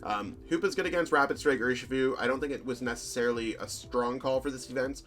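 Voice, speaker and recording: A man of average pitch talks through card game deck lists in a loose, rambling way, his voice slightly hoarse. He fills gaps with ums and corrects himself mid-sentence as he goes. Faint music plays quietly behind him. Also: quick pace. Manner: chatty, rambling, lots of fillers and self-corrections. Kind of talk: casual commentary over deck lists.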